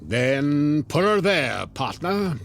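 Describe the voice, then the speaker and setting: A man's voice: on the high side, very animated, takes it slowly, creaky, fairly bright tone, slight background noise, heavily acted. An actor playing a sly, devilish door-to-door salesman in a radio drama, with glib, coaxing, theatrical sales patter. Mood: coaxing, deal-making. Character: sly devilish door-to-door salesman peddling a time-bending clock. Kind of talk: modern radio drama acting.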